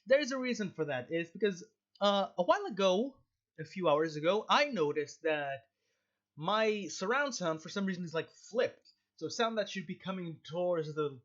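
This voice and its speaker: A man with a fairly high voice chats casually through a game stream. He rambles and corrects himself in muttered asides. The headset microphone gives him a dull, lo-fi sound. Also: lively intonation, normal speed, fairly bright tone. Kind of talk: casual livestream commentary on a visual novel.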